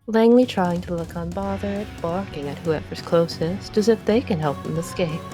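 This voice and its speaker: A woman's voice: lowish voice, normal speed, music in the background, natural voice. A woman voicing an arrogant, sadistic would-be goddess in a visual novel, haughty and theatrical as she savors her words. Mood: gloating. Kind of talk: dramatic visual novel monologue.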